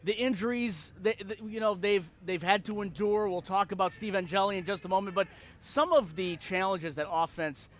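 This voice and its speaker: Higher-pitched male sports show host. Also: normal speed. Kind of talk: conversational TV sports interview.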